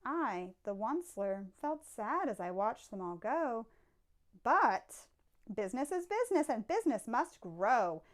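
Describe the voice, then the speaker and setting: A woman reads a children's storybook aloud. Her voice is high, and she takes her time, shaping the rhymes expressively like a teacher before a young class. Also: big pitch swings, measured pace.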